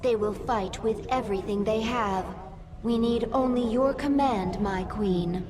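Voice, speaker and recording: An actress playing a loyal, martial alien brood mother in a video game, formal and deliberate. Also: fairly high pitch, lightly acted. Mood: deferential, martial. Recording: distant, noisy ambience.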